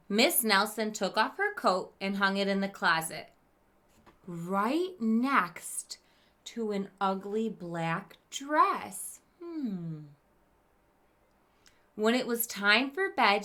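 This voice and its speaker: Female primary teacher, storybook read-aloud: forceful bright tone, slow delivery, engages young listeners directly. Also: medium pitch. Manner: expressive, emphatic, asks listeners questions. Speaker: teacher reading aloud to pupils.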